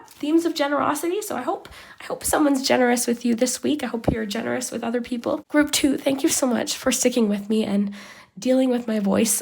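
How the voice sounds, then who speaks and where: A woman giving a chatty tarot reading straight to her viewers while losing her voice, sounding hoarse and sometimes sinking to a whisper. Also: on the high side, a little mellow. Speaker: tarot reader. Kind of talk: pick-a-card tarot reading to camera.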